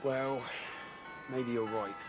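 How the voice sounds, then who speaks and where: A man's voice: mid-range voice, steady tone, takes it slowly, over music, natural voice. A man playing a sly, cautious farmer with a rural English accent, confiding in a radio drama. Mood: agreeing. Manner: rustic, confiding.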